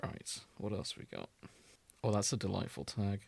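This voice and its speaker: A man's voice: fairly low pitch, sing-song, moderate pace, vocal fry, husky. A man co-hosting a casual podcast, chatting and reading fan fiction in an amused, wry, sweary way. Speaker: podcast co-host.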